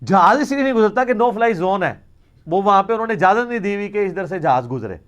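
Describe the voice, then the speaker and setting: A man's voice: high-pitched, animated, brisk, fairly bright tone, lo-fi sound. A man with a Pakistani Punjabi accent answering religious questions in an informal lecture, arguing emphatically with rhetorical questions and slipping into Punjabi.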